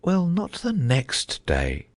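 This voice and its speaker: A man voicing a solemn, dreamy old knight who rambles about his inventions, in a husky voice. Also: normal speed, heavily acted. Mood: musing. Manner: rambling, thoughtful, lively pitch swings.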